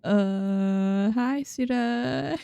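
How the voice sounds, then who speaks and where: Woman game streamer: unhurried casual commentary, close dry sound, game music behind. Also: a little high, gravelly, dark tone, clear, resonant tone, no echo. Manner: giggly, muttering reactions to the game. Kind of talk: casual live playthrough commentary.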